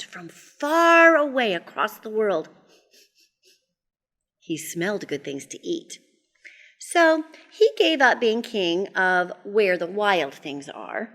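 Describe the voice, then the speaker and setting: High, very lively woman storyteller. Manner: expressive, theatrical, inviting listeners to join in. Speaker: children's storyteller and host. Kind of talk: animated picture-book read-aloud for young children.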